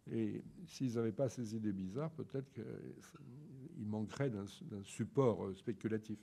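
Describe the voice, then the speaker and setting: A man with a bad head cold giving an informal lecture on a photograph, talking slowly with many ums and restarts. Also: fairly low pitch, gravelly, mellow, slightly rough.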